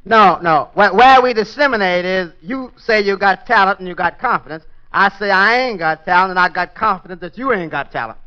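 1940s radio comedy waiter: high, bright voice, needling and droll, dull vintage sound. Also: male voice, a little fast, few pauses, clear, resonant tone. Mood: droll, skeptical. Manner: droll, needling, folksy bad grammar. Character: dry, skeptical male waiter puncturing his boss's bragging.

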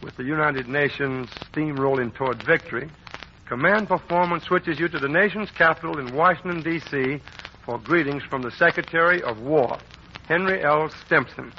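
Folksy old radio announcer guy telling a story, high and bouncy, muffled with a little hiss. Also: normal speed. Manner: chummy, folksy and playful. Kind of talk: scripted storytelling narration. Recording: dull 1940s radio broadcast.

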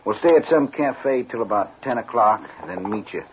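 An actor playing a tired, rough western outlaw in an old radio drama. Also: male voice, lowish voice, lively intonation, normal speed, natural voice. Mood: planning, bossy. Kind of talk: 1950s radio western acting. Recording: dull, slightly noisy old radio recording.

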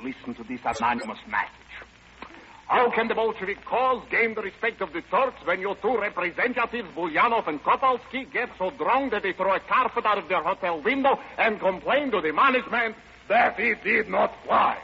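A man's voice: high-pitched, expressive, moderate pace, pushed and bright, exaggerated voice. A man playing an officious, blustering Soviet commissar in an old-time radio drama, on a muffled, noisy recording.

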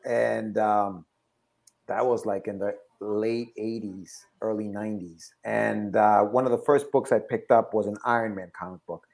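A man's voice: lowish voice, steady tone, a little slow, gravelly, a little mellow. A man in his forties from Ecuador who moved to the States as a child, talking casually and chattily as a podcast guest and repeating phrases. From Ecuador, moved to the States at seven. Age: 40s. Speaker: podcast guest, comic book creator. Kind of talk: casual conversational storytelling.

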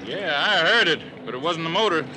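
An actor playing a folksy, sharp-eyed resort man and tracker in a 1950s radio drama, speaking in clipped, plain phrases. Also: on the high side, expressive, brisk, natural voice. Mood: alarmed, urgent. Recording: noisy vintage radio recording.

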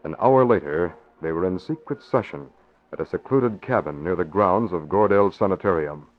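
A man narrating a radio drama in a steady, formal storytelling manner. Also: low-pitched, moderate pace. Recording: dull old radio recording with faint music.

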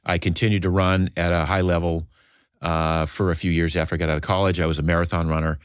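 Very deep-voiced man in his seventies. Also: level tone, smooth, dry recording, clean studio sound. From Maine, United States. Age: 70s. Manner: measured storytelling with some "uh" pauses. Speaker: podcast guest. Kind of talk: casual interview talk.

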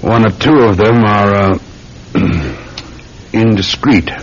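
Casting: methodical, patient police sergeant, deep voice, deliberate delivery, vintage radio play with muffled, rumbling noise. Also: male voice. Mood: skeptical. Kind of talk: classic radio drama acting. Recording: dull, rumbling, noisy old radio recording.

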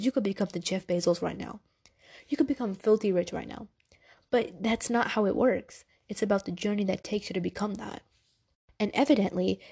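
A woman's voice: mid-range voice, warm tone, slightly rough. A congested young woman hosting a podcast, giving casual, direct motivational talk with a soothing voice. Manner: chatty, direct, addresses listeners as girl and y'all. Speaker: podcast host.

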